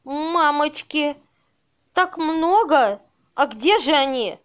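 A woman's voice: high voice, animated, slow pace, muffled recording. An amateur actress playing a trusting, distraught woman in an audio play. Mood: bewildered, anxious. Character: Veronika, distraught trusting woman losing her flat.

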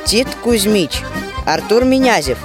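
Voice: mid-range voice, very animated, a little slow. An announcer reading names from a cast list in a scripted manner. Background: loud instrumental music.

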